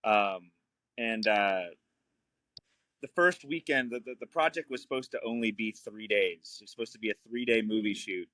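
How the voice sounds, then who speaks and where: A man's voice: fairly low pitch, normal speed, a bit bright, no echo. A young man, a guest filmmaker talking frankly and easily in a casual podcast chat. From New Orleans. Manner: frank, easygoing, laughs often.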